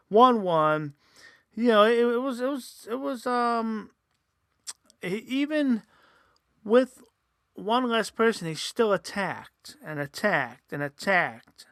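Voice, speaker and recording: Gravelly bright-voiced man rambling casually. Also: fairly high pitch, no room sound, clean studio sound. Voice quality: says he sometimes sounds nasal. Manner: rambling, many fillers and restarts. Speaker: host. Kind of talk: casual solo review podcast.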